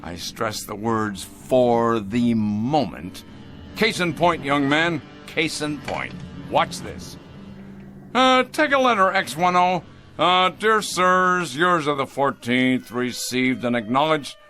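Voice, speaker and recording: An actor playing a cold, pompous factory boss in a radio drama, with a theatrical, condescending manner. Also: male voice, medium pitch, lively intonation, slow pace, pushed and bright, faint music behind. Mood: smug, excitable.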